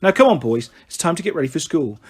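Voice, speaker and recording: A man's voice: a little high, sing-song, quick pace, breathy edge. A man voicing an exasperated, fussing mother who scolds her children in a children's storybook. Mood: brisk, wicked.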